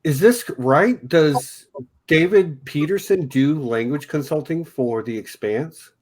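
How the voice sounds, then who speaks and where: A man's voice: on the high side, takes it slowly, darkish tone. Chatty Texan man hosting a podcast. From Texas. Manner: chatty, self-correcting. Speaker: podcast host. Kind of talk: casual discussion.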